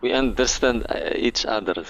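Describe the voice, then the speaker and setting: A man's voice: mid-range voice, moderate pace, a bit bright, poor audio. A man from Morocco, speaking English as a guest in a casual chat and searching for words. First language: Amazigh.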